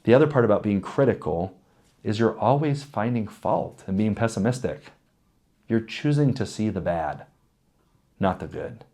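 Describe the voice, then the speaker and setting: A man in his thirties with a raspy voice, candidly answering interview questions in a reflective, conversational way with personal stories. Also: fairly low pitch, animated, normal speed, warm tone. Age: 30s.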